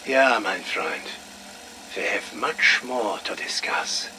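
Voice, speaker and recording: A man playing a grave Cold War conspirator in a game radio transmission, speaking in a deliberate, secretive way. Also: medium pitch, lively intonation, pushed and bright, full character voice. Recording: faintly noisy radio transmission.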